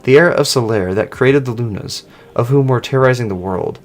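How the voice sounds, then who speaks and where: A man voicing an earnest informant in online horror fiction, recounting old rumors in a measured way. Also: medium pitch, slight background noise, natural voice.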